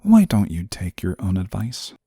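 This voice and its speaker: A man's voice: sits low, huge pitch swings, moderate pace, dark tone, husky, no room sound, clean studio sound, full character voice. A man playing a stern, easily flustered guardian angel in a scripted audio roleplay, speaking formally and with prim reserve. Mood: concerned reproach. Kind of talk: scripted audio roleplay, intimate one-sided dialogue.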